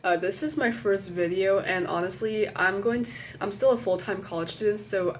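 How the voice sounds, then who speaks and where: A woman's voice: mid-range voice, moderate pace, a little hiss or hum. A young woman talking to her phone camera as a college student vlogger, hesitant and apologetic, with many fillers and restarts and a slightly nasal tone. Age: young adult. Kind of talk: casual rambling chat to camera.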